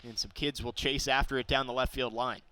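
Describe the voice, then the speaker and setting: A young man calling a baseball game live as play-by-play announcer, describing each pitch and getting excited on big plays. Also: fairly quick, smooth, dry recording. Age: young adult.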